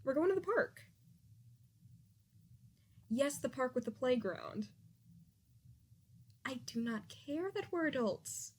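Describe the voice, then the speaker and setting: A young woman improvising a roleplay as a playful, bossy, sentimental childhood friend, speaking casually and haltingly with a teasing edge. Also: sits high, lively intonation, breathy edge, full character voice. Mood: exasperated, bossy. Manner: halting, rambling, casual speech with teasing bossiness.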